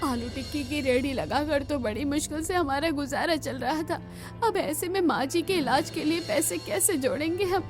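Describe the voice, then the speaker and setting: Woman as a worried, high-voiced dutiful wife. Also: moderate pace, over music, natural voice. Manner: anxious, respectful, earnest. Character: worried, dutiful young wife of a street vendor.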